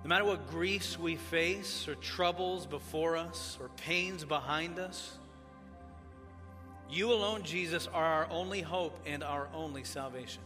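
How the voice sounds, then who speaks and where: A man who grew up in Tanzania, preaching as a pastor in a church, praying and then teaching in a measured, earnest cadence. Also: a little high, pushed and bright, faint music behind. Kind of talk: sermon with opening prayer. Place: church sanctuary. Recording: church hall reverb.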